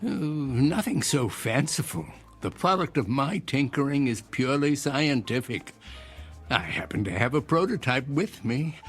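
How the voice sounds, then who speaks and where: An actor playing an eccentric older inventor in an audio drama, speaking in a formal, measured, philosophical and persuasive way. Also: male voice, medium pitch, expressive, slightly rough, soft background music. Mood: courteous, intriguing. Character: eccentric old inventor, courteous, cryptic, philosophical stranger.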